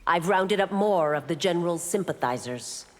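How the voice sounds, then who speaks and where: An actress playing a stern, resolute law officer and warrior in a video game, with a firm, formal manner. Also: female voice, fairly low pitch, lively intonation, moderate pace, close to an everyday voice. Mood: formal, dutiful. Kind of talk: video game heroine acting. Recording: reverberant arena with clear background noise.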